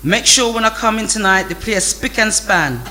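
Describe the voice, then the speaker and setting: A man's voice: high-pitched, expressive, normal speed, pushed and bright, voice pushed up, heavily acted. A man voicing a stern Jamaican father, scolding in Patois with indignant emphasis, in a storybook reading. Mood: ordering.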